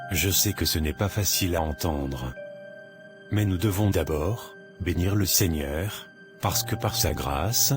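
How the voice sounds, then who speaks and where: A man voices a steady, devout pastor in an animated drama. He talks very slowly, with solemn reassurance, and music is clearly heard behind him. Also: sits low. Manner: solemn, soothing.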